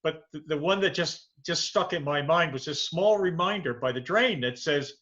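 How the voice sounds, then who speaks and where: Male interview host, crisp bright tone, muffled lo-fi video-call audio, curious and stumbling. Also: a little high, moderate pace. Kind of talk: conversational interview questions.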